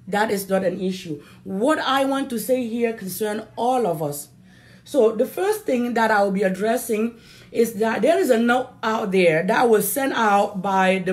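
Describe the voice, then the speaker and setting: A woman from Cameroon, a native French speaker with a Cameroonian accent, giving an impassioned political address in an emphatic, deliberate way, repeating phrases for stress. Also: medium pitch, darkish tone. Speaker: political activist addressing viewers.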